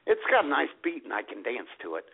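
A man's voice: mid-range voice, big pitch swings, quick pace, vocal fry, breathy edge. A man co-hosting an internet radio show with casual, joking, irreverent banter. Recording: tinny, phone-like internet radio sound.

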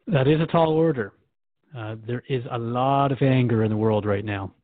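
An earnest, curious radio host, played by a man asks questions with a touch of nervous worry. His voice sits in a middle pitch. It sounds dry and thin, with a narrow, phone-like band. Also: normal speed, no echo, lightly acted. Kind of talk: radio drama acting.

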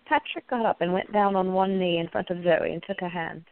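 A woman's voice: on the low side, fairly quick, clean tone, no echo. A woman narrating a romantic radio play from a script. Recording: thin phone-like audio.

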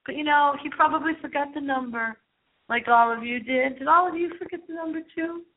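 A woman with a fairly high voice hosts a playfully spooky live radio show. She rambles in a theatrical, campy style. The sound is thin and narrow, like a call over a phone line. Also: brisk. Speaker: host of a late-night spooky internet radio show.

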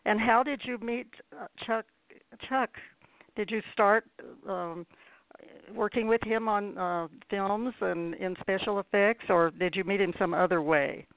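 A woman hosting a casual interview show, putting questions in a hesitant, halting way. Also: medium pitch, steady tone, no echo. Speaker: radio show host. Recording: dry, phone-like narrow-band audio.

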